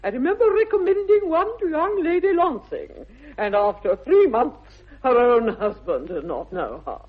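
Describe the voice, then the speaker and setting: An actress playing a haughty older aristocratic English aunt, grand and emphatic, in a 1960s radio comedy. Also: female voice, high-pitched, very animated, moderate pace, breathy edge, full character voice. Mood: haughty, appraising. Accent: upper-class English. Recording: muffled, tinny vintage radio recording.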